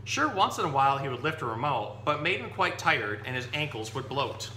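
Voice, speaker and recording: Bright, forceful man presenting in an echoey room. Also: mid-range voice, very animated, brisk. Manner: informal, explanatory. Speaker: zookeeper presenting animals and reading stories to children. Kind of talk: informal animal lesson and picture-book read-aloud. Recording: roomy echo with background noise and hum.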